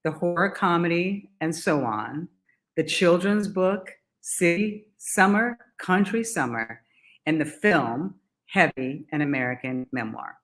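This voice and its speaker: A woman hosting a literary and arts salon, introducing speakers in a measured way. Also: on the low side, animated. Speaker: event host.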